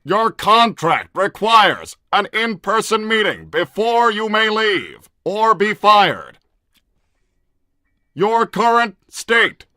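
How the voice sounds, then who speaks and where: Bright, blunt combat robot. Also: male voice, high-pitched, no echo, studio quality, exaggerated voice. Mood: flat, official. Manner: formal, deadpan, stilted. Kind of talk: in-character livestream roleplay, reading viewer messages.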